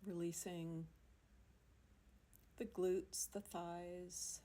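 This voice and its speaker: A woman leading a guided yoga nidra meditation in a soothing, unhurried manner, leaving long pauses between phrases. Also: on the low side, level tone, mellow. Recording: amateur recording with faint background noise.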